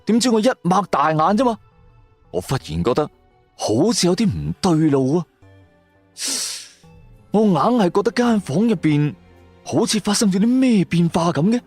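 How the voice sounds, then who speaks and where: A man's voice: high voice, big pitch swings, moderate pace, pauses often, darkish tone, no echo. A man with a Cantonese accent narrating a first-person audio drama in a colloquial, theatrical storytelling style. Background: background music.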